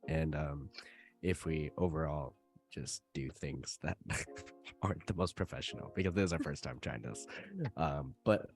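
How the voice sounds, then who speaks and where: A man's voice: very deep voice, lively intonation, unhurried, vocal fry, darkish tone, soft background music. A nineteen-year-old man hosting a casual podcast, talking with hesitant ums and easy laughs. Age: young adult. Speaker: host.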